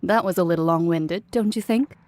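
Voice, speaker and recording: An actress playing a serious, blunt young officer in a video game, speaking precisely and matter-of-factly. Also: female voice, lowish voice, a little fast, a little mellow, faint music behind, natural voice. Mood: dry, questioning.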